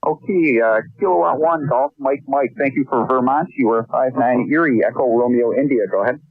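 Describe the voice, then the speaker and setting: A man's voice: mid-range voice, fairly quick, narrow, tinny audio. An American man running a contest over shortwave radio, giving formulaic phonetic call signs and signal reports. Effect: ham radio transmission. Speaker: amateur radio contest operator.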